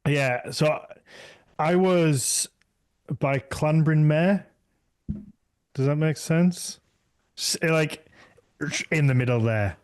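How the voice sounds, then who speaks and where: A man's voice: a little high, extremely slow, long pauses, a little mellow, clear, resonant tone, no room sound. A man hosting a podcast in casual chat, rambling and trailing off mid-thought. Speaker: podcast host.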